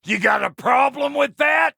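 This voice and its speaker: A man voicing a gruff, cocky, middle-aged dwarf blacksmith for a video game, with a rough gravelly voice, an American accent and a brash, folksy, profane manner. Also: very high-pitched, moderate pace, sharp tone, no echo, studio quality, full character voice. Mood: belligerent taunting. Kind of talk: video game character voice lines.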